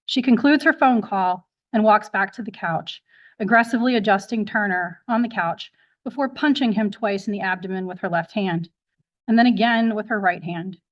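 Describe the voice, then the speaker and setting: A woman's voice: mid-range voice, moderate pace. A woman speaking as a prosecutor, methodically reading a documented log aloud in formal, factual fashion, recorded with dull courtroom sound.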